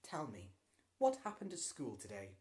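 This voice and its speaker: A reader voicing a fussy mother who scolds in a shrill, exclaiming way. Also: wildly swooping pitch, normal speed, mellow. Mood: chiding. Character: fussy, easily exasperated mother.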